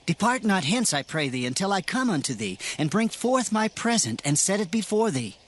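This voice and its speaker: A man's voice: high-pitched, big pitch swings, moderate pace. A man playing a humble, anxious Israelite warrior who speaks earnestly and emotionally in a scripture audio drama. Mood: humble, pleading.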